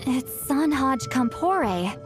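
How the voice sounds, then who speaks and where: Confident high-voiced spirit clairvoyant. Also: female voice, big pitch swings, takes it slowly, full character voice. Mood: calm, encouraging. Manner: assured, instructive, wryly stern. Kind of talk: animated video game voice acting. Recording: music playing behind the voice.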